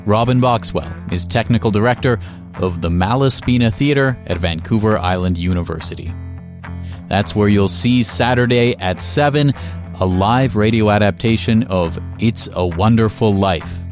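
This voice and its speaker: A man's voice: on the low side, moderate pace, faint music behind. A man presenting a local radio programme in a scripted host style.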